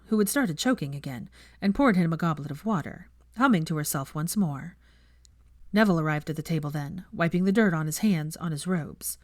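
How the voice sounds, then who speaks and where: A woman narrates fiction as an expressive audiobook reader. Her voice sits slightly low and moves with animated intonation, captured close and dry. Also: no room sound.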